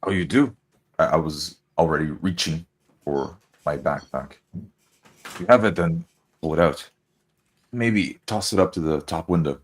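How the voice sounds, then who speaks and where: A man's voice: very deep voice, unhurried, pauses often. A man playing a tabletop roleplay, narrating his character's actions in the first person.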